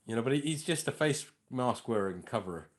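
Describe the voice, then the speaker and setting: A male comedian telling stories in a casual interview, lively, acting out the other people's lines. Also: mid-range voice, brisk. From lives in North London. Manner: animated, acts out dialogue in put-on voices. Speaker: comedian and impressionist, guest.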